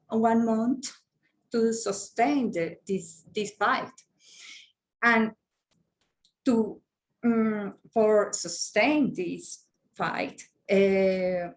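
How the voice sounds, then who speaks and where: A Colombian woman whose first language is Spanish speaks in hesitant English with a Colombian Spanish accent. She talks very slowly, stopping to search for words and filling gaps with "uh" and "um." Her pitch sits at an ordinary middle level. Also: darkish tone. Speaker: workshop participant. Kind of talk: halting discussion contribution.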